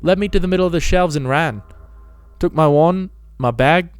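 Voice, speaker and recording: A man's voice: a little high, normal speed, clear, resonant tone, no room sound, faint music behind, voice pushed up, close to an everyday voice. A man voicing a wary, timid young schoolboy with a bitter streak, in a dramatic story reading. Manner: wary, suspicious. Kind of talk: dramatic reading of fan fiction.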